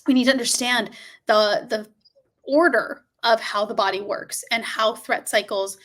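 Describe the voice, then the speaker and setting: A middle-aged woman answering as a guest expert on a video call, earnest and measured, stressing key points. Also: fairly high pitch, a bit bright. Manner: earnest, measured, emphatic explaining. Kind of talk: explanatory interview answer. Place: home room indoors. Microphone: webcam on a video call.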